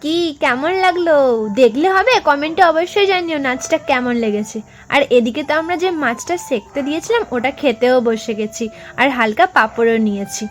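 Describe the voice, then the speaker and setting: High-pitched young woman vlogger. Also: lively intonation, normal speed, faint music behind. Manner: chatty, addressing viewers directly. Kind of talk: casual family vlog voice-over.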